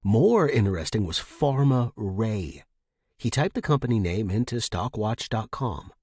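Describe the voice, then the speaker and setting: Animated, husky male story narrator. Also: mid-range voice, no room sound, clean studio sound. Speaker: audiobook-style fiction narrator. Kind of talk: scripted short-story narration.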